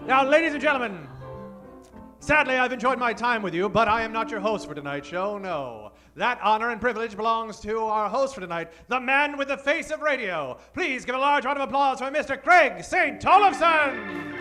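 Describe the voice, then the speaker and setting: Very high-voiced, theatrical male announcer. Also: animated, moderate pace, bright, forceful tone. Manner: mock-formal, theatrical. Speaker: opening announcer introducing the host. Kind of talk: old-time radio stage announcing. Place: theater stage, before an audience. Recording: live stage show with music behind. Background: music.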